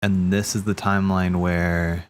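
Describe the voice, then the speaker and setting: A man streaming casual, rambling theory commentary, thinking aloud haltingly, correcting himself and swearing casually. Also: deep voice, little pitch movement, smooth.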